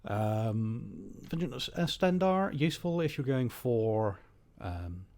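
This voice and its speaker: A slightly hoarse man giving rambling, wry, opinionated commentary while playing a game. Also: medium pitch, very animated, takes it slowly, a little mellow. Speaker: gaming host. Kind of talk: casual gameplay commentary.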